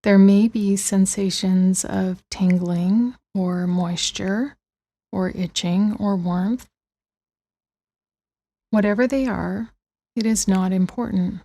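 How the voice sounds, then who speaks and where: A woman guides a meditation with a soft, mellow tone and a creaky edge. She speaks gently and very slowly, letting long pauses settle between her soothing phrases. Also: mid-range voice, steady tone. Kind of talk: guided body-scan meditation.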